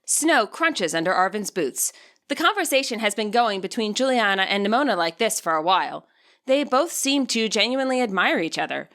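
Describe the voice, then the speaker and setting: A woman reading scripted fiction aloud as an expressive audiobook narrator. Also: mid-range voice, moderate pace.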